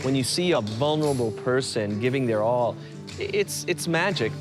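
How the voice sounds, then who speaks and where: A man in a mid-range voice talks about dance and artistry in an earnest, reflective way. Music plays clearly underneath his interview. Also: medium pitch, expressive, moderate pace.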